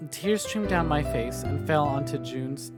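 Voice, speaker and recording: Higher-voiced man streaming over loud game music. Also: animated, a little slow. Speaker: streamer reading a visual novel. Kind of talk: expressive story narration with casual commentary.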